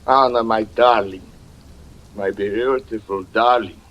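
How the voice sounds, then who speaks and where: A cold, scheming seducer. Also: male voice, lowish voice, fairly even intonation, slow, lo-fi sound. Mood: crooning. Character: scheming man with a cold, false tenderness. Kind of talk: 1940s radio acting.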